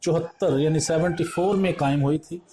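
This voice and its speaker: Mellow, higher-pitched man reading news. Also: fairly quick, slight background noise. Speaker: news report narrator. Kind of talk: scripted news narration.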